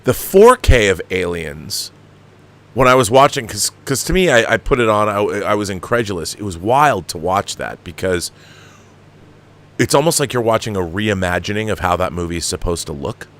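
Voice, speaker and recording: Emphatic American man, lowish voice. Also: animated, a bit bright. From United States. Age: 50s. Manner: exasperated, emphatic, halting repeats for emphasis. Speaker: host of a film commentary show. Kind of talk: opinionated film commentary, reading viewer questions.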